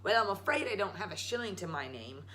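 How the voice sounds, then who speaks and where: A woman's voice: medium pitch, sing-song, fast talker, sharp tone, a little hiss or hum. A woman voicing a wacky old male tradesman in a storybook, folksy and coaxing like a salesman. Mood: folksy, friendly. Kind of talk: storybook read-aloud.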